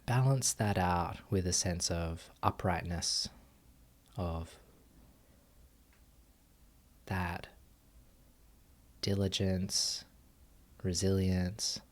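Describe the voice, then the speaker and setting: A man guiding a meditation as a teacher, giving gentle instructions with long pauses. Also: sits low, steady tone. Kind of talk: guided meditation.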